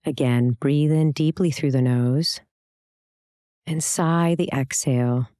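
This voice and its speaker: A meditation instructor guiding a scripted practice in a calm, soothing, gentle way, leaving long pauses. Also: medium pitch, no echo, studio quality.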